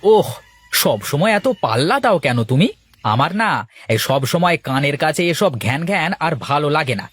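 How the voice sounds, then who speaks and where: A man's voice: a little high, animated, moderate pace, a bit bright, no room sound. A man playing a grumbling, long-suffering village potter and husband in a folk-tale cartoon. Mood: exasperated.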